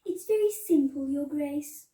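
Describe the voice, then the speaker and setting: A proud lady giraffe from a storybook as a woman acts her out, self-assured and prim. Her voice is very high but soft-edged, heard in an echoey room. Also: measured pace. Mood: brisk, confident. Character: proud, haughty, helpful female giraffe.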